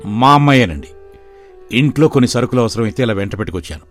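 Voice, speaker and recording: A gentle village father with an even, middle-pitched voice, as a man voices him. He speaks calmly and tenderly, with a protective, cautious manner. Light music runs underneath. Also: fast, lightly acted. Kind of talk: animated storybook voice acting.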